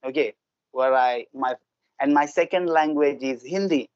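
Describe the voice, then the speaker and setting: A man's voice: mid-range voice, measured pace, smooth, dry recording. A man from Gujarat with an Indian English accent, his first language Gujarati, chatting earnestly and repeating phrases for emphasis. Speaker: host, English learner. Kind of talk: casual conversation. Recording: dull lo-fi, dry audio.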